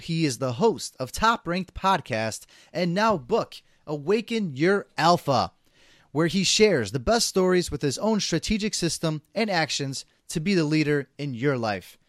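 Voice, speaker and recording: A man's voice: fairly high pitch, sing-song, unhurried, no echo, clean studio sound. A man hosting a podcast interview, upbeat and casual, often stumbling and repeating words. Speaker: podcast host.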